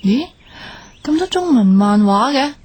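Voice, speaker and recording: An actress playing a feisty, bossy, sharp-tongued young woman in a radio drama, speaking Hong Kong Cantonese in a blunt, expressive way. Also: a little high, unhurried. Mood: curious, teasing. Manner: blunt, teasing, expressive slangy scolding. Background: background music.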